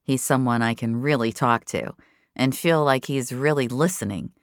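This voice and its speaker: Female narrator for audio fiction, very low register, confessional first-person delivery, close dry recording. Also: fairly even intonation, normal speed, dry recording.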